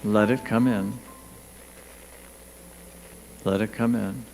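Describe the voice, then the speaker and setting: A man leading a guided meditation, speaking gently and soothingly in short, spaced phrases with pauses. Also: fairly low pitch, steady tone, low-quality recording. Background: background music.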